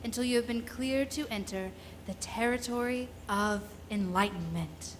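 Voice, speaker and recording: A woman's voice: on the high side, normal speed, natural voice. A woman playing a formal spaceport announcer giving official orders in a radio drama, in a reverberant hall. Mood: formal, commanding. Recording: reverberant hall, faint noise.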